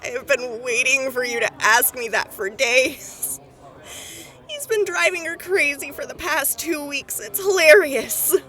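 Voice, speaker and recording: A woman's voice: high voice, measured pace, pushed and bright. A woman voicing a cheerful, gossipy clerk going over paperwork in an audio drama. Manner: bubbly and gossipy.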